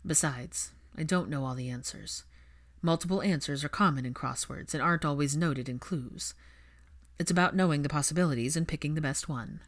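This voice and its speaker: A woman voicing a reserved, analytical man in a story reading, measured and understated. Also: deep voice. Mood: dry.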